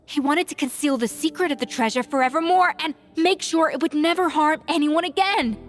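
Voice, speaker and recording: An actress playing a sharp, determined young investigator who talks in a brisk, analytical way. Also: female voice, high voice, faint music behind, close to an everyday voice. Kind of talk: video game voice acting.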